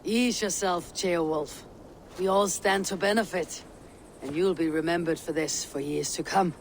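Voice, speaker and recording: A woman's voice: on the low side, measured pace, a little hiss or hum. A woman playing a steadfast, poetic Viking warrior in a game cutscene, composed, solemn and resolute. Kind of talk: video game cutscene acting.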